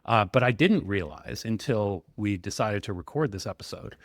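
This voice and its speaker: A man's voice: fairly low pitch, expressive, normal speed, no room sound, studio quality. A man co-hosting a podcast, enthusiastic and rambling, with many likes and ums. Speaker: podcast co-host. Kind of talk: casual podcast chat.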